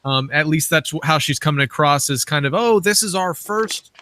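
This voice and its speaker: Bright, higher-voiced male interviewer. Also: expressive, normal speed, slight background noise. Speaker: host, interviewer. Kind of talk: conversational interviewing.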